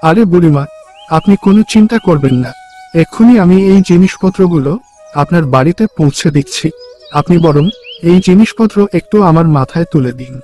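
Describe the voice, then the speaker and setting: A man's voice: on the high side, expressive, a little fast, dark tone, faint music behind, lightly acted. A man playing an earnest, respectful, poor young day labourer in a cartoon story. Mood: earnest, helpful. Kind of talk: cartoon dialogue.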